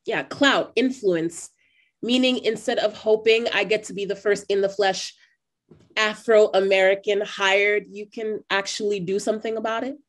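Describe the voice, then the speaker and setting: A woman's voice: medium pitch, steady tone, normal speed, natural voice. A woman playing a guarded, direct and skeptical young job seeker in an online play reading. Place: indoors on a video call. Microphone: video call microphone.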